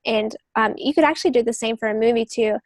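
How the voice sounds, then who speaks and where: Woman on a podcast giving tips, kinda high voice, lots of vocal fry, informal, dry sound. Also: fast, dry recording. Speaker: literary agent and novelist giving advice. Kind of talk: casual podcast chat.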